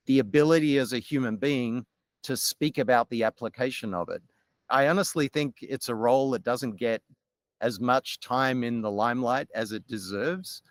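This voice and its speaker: A middle-aged man with an Australian accent tells his story as a podcast guest, measured and articulate. His voice sits at a middle pitch. The sound is dry and close, with no room around it. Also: no room sound. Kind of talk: podcast interview.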